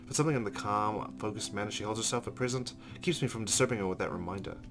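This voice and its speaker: A man's voice: on the low side, quick pace. A man hosting a let's play, reading a visual novel's narration aloud with casual asides.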